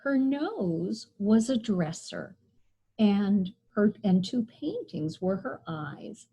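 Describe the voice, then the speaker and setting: A woman reading a picture book aloud to children as a storyteller, with expressive, deliberate phrasing. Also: medium pitch, slightly rough.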